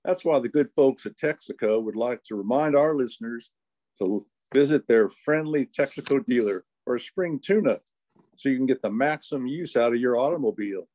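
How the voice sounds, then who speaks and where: Man playing a folksy Southern radio pitchman. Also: normal speed, mellow, lightly acted. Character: folksy average middle-class Southern husband in radio ad. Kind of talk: amateur old-time radio commercial.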